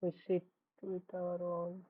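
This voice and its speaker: A woman's voice: fairly low pitch, monotone, takes it slowly, slightly rough. A woman game streamer giving casual live commentary over gameplay. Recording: dull, noisy stream microphone.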